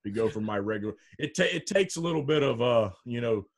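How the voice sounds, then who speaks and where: A deep-voiced man guests on a political chat and argues emphatically, checking in with "okay?". His pitch swings widely as he talks. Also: expressive, fast. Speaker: podcast guest.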